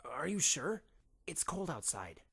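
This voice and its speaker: A man acts a grown stallion son in an audio play. He is anxious and overprotective, hesitant, with pitch that moves up and down a lot. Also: sing-song, unhurried, vocal fry, bright, forceful tone, husky, full character voice. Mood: worried. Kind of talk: fan audio drama acting.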